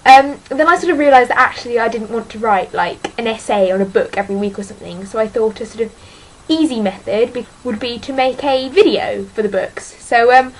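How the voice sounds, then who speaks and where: A woman's voice: fairly high pitch, a little fast, few pauses, a little hiss or hum, low-quality recording. A teenage girl chatting casually about books in a vlog, rambling and hesitant, full of ums and sort-ofs, self-deprecating. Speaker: book vlogger.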